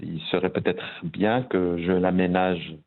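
A man's voice: low-pitched, steady tone, a little slow, narrow, tinny audio. A man in his fifties from Morocco, a guest talking about his work in a casual interview, with many fillers and restarts. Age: 50s. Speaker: guest, pharmacist and visual artist.

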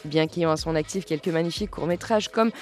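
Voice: mid-range voice, a little fast, faint music behind. A radio culture show host presenting a film review and introducing a guest from a prepared text, with some fillers.

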